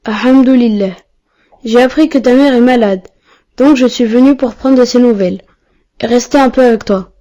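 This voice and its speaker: A woman's voice: on the high side, moderate pace, dark tone, dull audio, lightly acted. A woman voicing a polite, sensitive, pious boy in an educational cartoon, with an earnest, courteous delivery. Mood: polite, caring. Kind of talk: didactic cartoon dialogue.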